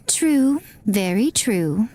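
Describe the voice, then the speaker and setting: An actress playing an elegant, self-assured shrine priestess in a video game, with a coy, drawling, playfully mocking delivery. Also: female voice, fairly high pitch, very animated, extremely slow, a little mellow, slight background noise, heavily acted. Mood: knowing, needling.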